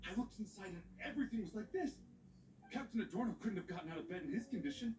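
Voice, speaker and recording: A flustered young patrol knight in a video game. His alarmed voice sits very high and jumps around in pitch. It sounds echoey and distant. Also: expressive, fast talker, background noise. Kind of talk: dramatic video game voice acting.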